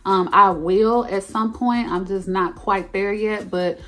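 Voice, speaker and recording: A woman in her thirties chatting to camera in her bedroom as a lifestyle vlogger, animated and playful, jumping up high for emphasis. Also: slow pace. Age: 30s. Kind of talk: casual chat to camera. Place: carpeted bedroom at home.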